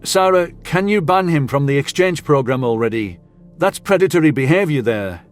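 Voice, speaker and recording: A man's voice: on the high side, animated, normal speed, close to an everyday voice. A man playing a big, blustering, exasperated human in a sci-fi audio drama. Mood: indignant grumbling. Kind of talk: audio drama character acting. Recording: music under the voice.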